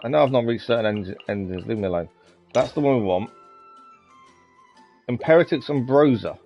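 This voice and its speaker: Casual male streamer, mid-pitched, musing his way through gameplay on a dull microphone. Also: animated, moderate pace. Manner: musing aloud, hesitant. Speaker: game live streamer. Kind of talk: casual live gameplay commentary. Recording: dull lo-fi mic with game music. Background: game music.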